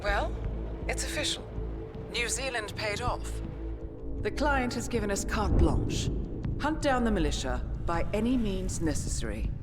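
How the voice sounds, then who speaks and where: An actress playing a poised, wry assassin's handler in a video game cutscene, with a measured, dry, businesslike manner. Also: female voice, mid-range voice, animated, natural voice. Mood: measured, persuasive. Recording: dramatic music behind the voice.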